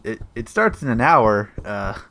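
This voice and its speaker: A man's voice: medium pitch, sing-song, unhurried, fairly bright tone. A straight-laced male police detective , played by a man, timid and earnest, with an ordinary mid-range voice. He speaks in an awkward, hesitant way, as in a comic radio play. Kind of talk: comedic audio drama acting.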